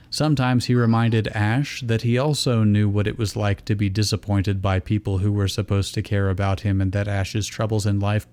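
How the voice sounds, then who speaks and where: A man's voice: lowish voice, darkish tone. A man reading fan fiction aloud as narrator, in scripted storytelling style. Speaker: reader and narrator.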